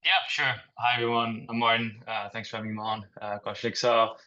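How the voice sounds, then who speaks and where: A young man from Sweden, an MBA student, introducing himself in a measured, hesitant way on a video call. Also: lowish voice, level tone, pushed and bright. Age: young adult. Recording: dull lo-fi call audio.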